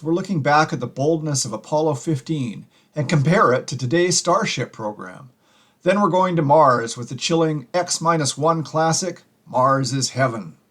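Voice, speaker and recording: Male show host, slightly higher register, scripted opinion narration. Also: a bit bright. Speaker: podcast host.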